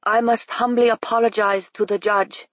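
A woman's voice: medium pitch, little pitch movement, moderate pace, dry recording, muffled recording, voice pushed up, natural voice. A woman doing a sheepish, formal male constable. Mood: humble. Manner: stiff, overly formal apology. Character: nervous, humble male constable in his thirties.

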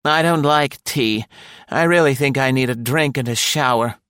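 A man's voice: mid-range voice, moderate pace, a bit bright, clear, resonant tone, no echo, voice pushed up, close to an everyday voice. A man voicing a tired, irritable American wife in an audiobook, blunt, complaining and sarcastic. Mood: weary. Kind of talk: audiobook character voice.

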